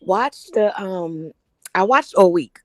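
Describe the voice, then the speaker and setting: A hoarse woman calling in to a livestream from her phone, chatty and gossipy. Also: mid-range voice, expressive, slow, no echo. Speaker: caller on a livestream. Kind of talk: casual chat.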